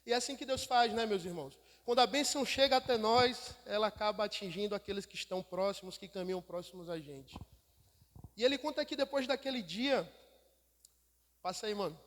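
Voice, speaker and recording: A man's voice: high voice, brisk, a bit bright. A Brazilian man, a missionary, giving an emphatic church sermon as storytelling, repeating phrases for effect. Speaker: missionary speaker. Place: church hall. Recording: echoey hall with long reverb.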